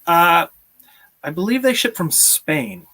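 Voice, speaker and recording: Higher-pitched man chatting casually on stream. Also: big pitch swings, takes it slowly, sharp tone. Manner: friendly, appreciative, chuckles often. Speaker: live stream host. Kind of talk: casual chat, answering viewer questions. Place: small room at home, indoors.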